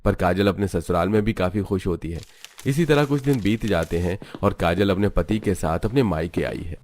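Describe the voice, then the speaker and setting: Lowish-voiced man narrating a story. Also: moderate pace, a little mellow. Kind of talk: scripted Hindi cartoon story narration.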